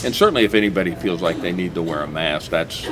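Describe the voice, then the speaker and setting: A man's voice: sits low, fast, crowd noise. A man hosting a casual local interview, rambling a little with frequent "uh"s and restarts. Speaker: host.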